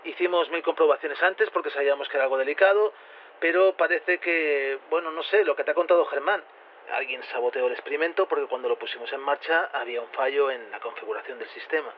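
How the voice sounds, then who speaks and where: A man's voice: fairly high pitch, fast talker, natural voice. Tinny-sounding, hesitant scientist played by a man, Spanish accent. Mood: casual, hesitant. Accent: Spain. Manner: hesitant, colloquial, explanatory. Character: laid-back male scientist explaining a failed experiment. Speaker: interviewed researcher. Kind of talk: casual spoken interview, acted. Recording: tinny, noisy phone-like radio link.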